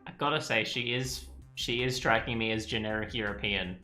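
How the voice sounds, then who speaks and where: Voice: medium pitch, fairly even intonation, moderate pace, sharp tone. A gaming streamer giving casual let's play commentary in offhand asides. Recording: echoey room, faint game music. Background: faint game music.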